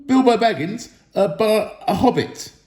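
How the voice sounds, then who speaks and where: A man acts a small, frightened hobbit fellow from a storybook, pitched well up from his narration. He is polite and flustered, pleading in an echoey room. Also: animated, measured pace, darkish tone.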